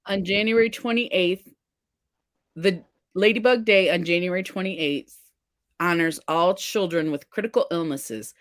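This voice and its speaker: A woman's voice: fairly low pitch, a little slow, no echo, clean studio sound. A woman hosting a casual livestream, chatting and reading viewer comments aloud. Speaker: livestream face paint host.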